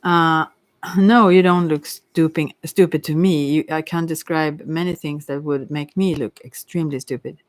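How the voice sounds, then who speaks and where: Halting, rambling tarot reader. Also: mid-range voice, steady tone, no echo. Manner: halting, rambling, stumbling over words. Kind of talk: informal tarot reading addressed to listeners.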